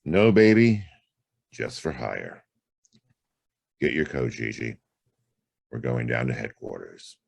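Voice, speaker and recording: An actor playing a world-weary hard-boiled private detective in a noir radio drama, laconic and wry, with a gravelly voice. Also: male voice, a bass voice, expressive, slow, long pauses, slight background noise, full character voice. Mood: firm, weary. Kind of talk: noir radio drama acting and first-person narration.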